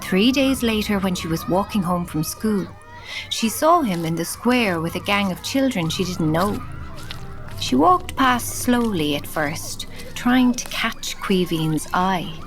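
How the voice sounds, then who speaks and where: A woman's voice: mid-range voice, animated, a little slow, rough recording. A woman narrating a children's audio drama as a storybook narrator. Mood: storytelling.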